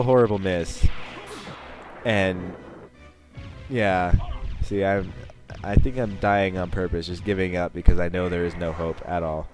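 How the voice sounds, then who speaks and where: A young man sick with a cold, sniffling as he gives rambling, self-mocking commentary over his own gameplay. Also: deep voice. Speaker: gaming channel host.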